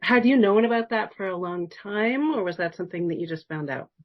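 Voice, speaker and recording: A woman's voice: medium pitch, expressive, a little fast, highs cut off. A woman interviewing in a friendly, casual, conversational way, with the admiring manner of a fan. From New York, United States. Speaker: podcast interviewer.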